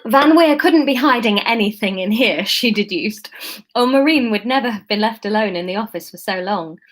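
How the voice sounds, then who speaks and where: A woman reading her serialized story aloud, chatty and casual, often pausing to comment on it and correct herself. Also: medium pitch, expressive.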